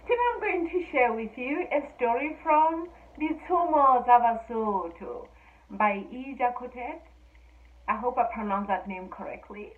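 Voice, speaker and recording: A woman reading and retelling a folk tale in a relaxed, informal way, with hesitations and frequent laughs. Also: a little high, measured pace. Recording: echoey, distant, phone-like sound.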